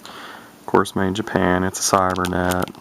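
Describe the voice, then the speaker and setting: A man's voice: deep voice, monotone, a little slow, vocal fry, background noise. A man in his thirties giving casual, unscripted commentary in a folksy, rambling way, thinking aloud into a handheld camera's microphone. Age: 30s. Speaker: vintage CB radio collector showing an unboxing. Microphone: handheld camera's built-in microphone.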